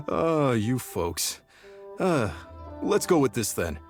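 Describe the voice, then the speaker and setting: A man voicing a genial, proud male restaurant chef, hospitable and quick to chuckle. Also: mid-range voice, sing-song, over music.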